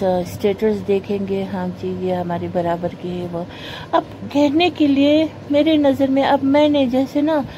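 A woman's voice: fairly high pitch, mellow, a little hiss or hum, low-quality recording. Preachy woman scolding into a phone. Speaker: vlogger. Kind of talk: moralizing monologue to camera. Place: carpeted room at home. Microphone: phone camera pointed at the floor.

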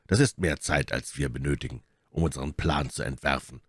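This is a man reading as a composed musketeer who takes command in an audiobook adventure. His voice is very low, measured and authoritative, with wry calm. The recording is dry and close. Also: breathy edge, dry recording. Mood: cool, wry. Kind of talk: audiobook character dialogue.

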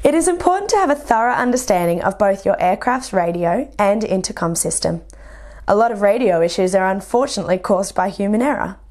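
A woman's voice: medium pitch, expressive, brisk, a little mellow. Young Australian woman presenting a lesson. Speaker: flight instructor presenting a lesson. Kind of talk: scripted instructional narration.

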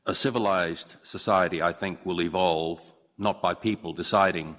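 A man, giving a measured, earnest formal speech as a newly appointed judge, with occasional slips and restarts. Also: deep voice, steady tone, fairly bright tone. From Hong Kong. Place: large courtroom. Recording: long reverb of a large courtroom.

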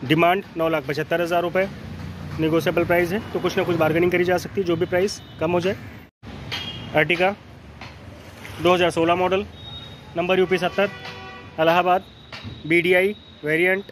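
North indian guy selling used cars, kinda high voice, plain short phrases, bit of outdoor traffic noise. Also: level tone, moderate pace, pauses often. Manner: matter-of-fact, listing details in short phrases. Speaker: used car dealer presenting cars. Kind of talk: spoken walkaround sales presentation. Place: outdoor car lot, beside and inside cars. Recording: noisy outdoor street ambience.

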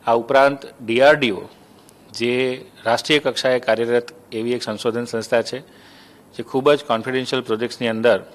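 A middle-aged man speaks as an official in an interview. The pitch is average, and he talks into a handheld mic in an office. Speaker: university official being interviewed. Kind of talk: interview statement. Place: office, indoors. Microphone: handheld reporter microphone.

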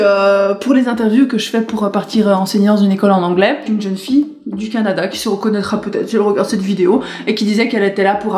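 A woman in her twenties vlogging to camera in a chatty, rambling, animated way, gesturing as she talks. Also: medium pitch. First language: French. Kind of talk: casual chat to camera. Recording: echoey room with faint music.

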